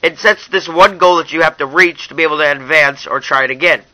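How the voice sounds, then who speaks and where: A man's voice: on the high side, a little fast, bright, forceful tone, poor audio. A young man narrating a casual, hands-on app review in an informal way, talking straight to his viewers. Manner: informal, addresses viewers as you guys.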